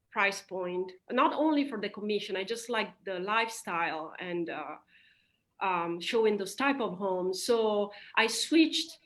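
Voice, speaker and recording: A woman whose first language is Italian, a real estate agent answering interview questions in a chatty way, with fillers and quick laughs. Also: medium pitch, a bit bright.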